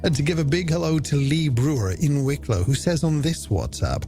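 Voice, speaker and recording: A man's voice: a little high, expressive, moderate pace, mellow. An Irish man presenting a late-night music radio show in a polished, theatrical way with flowery phrasing and rhetorical questions, over a music bed.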